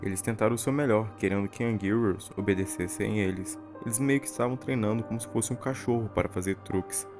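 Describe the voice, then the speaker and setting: A man with a Brazilian accent narrates a scripted horror recap. His voice sits on the low side. Music plays behind him as he reads. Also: steady tone, fairly quick, few pauses. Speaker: video narrator.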